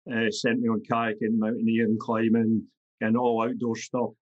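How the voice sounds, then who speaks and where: A man's voice: on the low side, completely flat delivery, normal speed, a little mellow, dull audio. A Scottish man in his fifties, a podcast guest talking bluntly and matter-of-factly. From Scotland. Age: 50s. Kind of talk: podcast interview.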